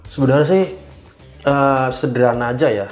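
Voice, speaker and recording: A young man with an Indonesian accent giving casual explanatory answers as an interview guest, informal and halting as he thinks aloud. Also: medium pitch, fairly even intonation, a little mellow, faint music behind. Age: young adult. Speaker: interview guest, government cybersecurity engineer.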